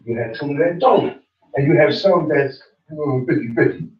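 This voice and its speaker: A man with a fairly high voice teaches scripture in a relaxed, explaining way. He stands far from the camera, so he sounds distant and roomy. The audio is dull and muffled. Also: moderate pace. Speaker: religious teacher. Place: small study room with bookshelves, indoors. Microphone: far room camera. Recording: echoey, distant, dull room recording.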